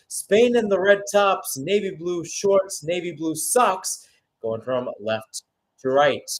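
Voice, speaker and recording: A man's voice: fairly high pitch, a little hiss or hum, low-quality recording. A man giving live radio-style play-by-play commentary on a football match, announcer-like and speaking in short phrases.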